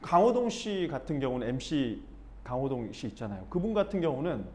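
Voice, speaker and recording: A man from Busan giving an informal class talk as a speech and expression instructor, animated and slipping into acted-out dialect examples. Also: medium pitch, brisk, slight background noise. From Busan, South Korea.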